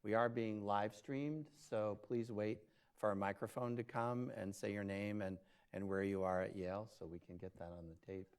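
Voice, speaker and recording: A man's voice: on the low side, fairly even intonation, normal speed, smooth. A man moderating a live conversation, posing organized questions in a friendly way. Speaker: moderator, professor.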